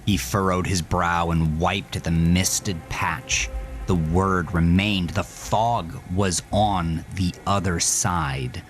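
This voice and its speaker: A man's voice: low voice, steady tone, takes it slowly, faint music behind. A man narrating a scripted horror story with intense, dramatic delivery that sinks into whispers.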